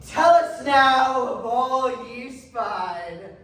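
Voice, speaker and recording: A woman's voice: sits high, animated, very slow, a bit bright, exaggerated voice. A woman acting a stern, zealous judge at a Puritan witch trial in a pompous, declamatory stage manner. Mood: stern questioning. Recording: echoey hall from far off, faint noise.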